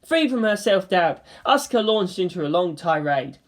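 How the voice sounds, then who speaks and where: A woman's voice: lowish voice, very animated, moderate pace. A woman reading scripted story narration in a dramatic style.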